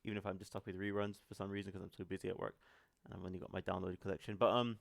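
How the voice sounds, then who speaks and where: Male reaction host, lowish voice, creaky, chatty, apologetic, off-the-cuff. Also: level tone, racing. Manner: chatty, apologetic, addresses viewers directly. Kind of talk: casual off-the-cuff reaction commentary.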